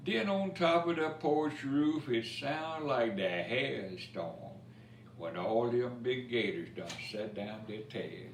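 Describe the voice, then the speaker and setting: A man reading a children's picture book of Cajun dialect verse aloud, telling it expressively with asides about the pictures. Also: mid-range voice. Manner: expressive storytelling, pausing to point out pictures. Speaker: story time reader. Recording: roomy, distant mic with faint noise.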